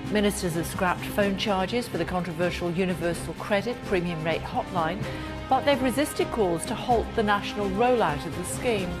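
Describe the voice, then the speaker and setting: A woman's voice: medium pitch, over music. Female TV news presenter, formal. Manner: measured, formal delivery. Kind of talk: scripted news reading. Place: TV news studio.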